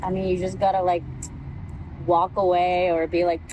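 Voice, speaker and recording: A young woman from the East Coast in relaxed casual conversation, easygoing and quick to laugh. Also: on the low side. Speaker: voice actress, guest. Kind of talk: casual chat. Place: outdoors in a park. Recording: noisy outdoor recording with traffic.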